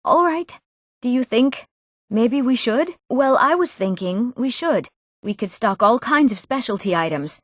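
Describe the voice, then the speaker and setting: An actress playing an eager, earnest young townswoman in a fantasy video game, speaking her scripted lines expressively and clearly. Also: fairly high pitch, animated, measured pace, no echo, clean studio sound. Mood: eager, hopeful.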